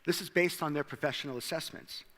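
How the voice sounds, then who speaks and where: A man's voice: on the high side, fast, fairly bright tone, big-room sound. A South African-born man with an American accent giving a conference presentation, mixing light self-deprecating humor into the talk. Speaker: conference speaker. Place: large hall.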